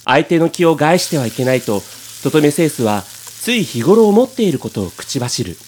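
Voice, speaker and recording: A man's voice: fairly high pitch, very animated, normal speed, darkish tone, a little hiss or hum. A man reading scripted narration for a comic radio drama.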